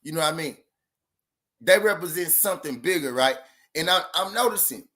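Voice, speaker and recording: A man's voice: on the high side, animated, fairly quick, bright, forceful tone. A man hosting a boxing channel live stream, talking loosely and profanely and repeating himself. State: says he is high. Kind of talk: casual live-stream talk.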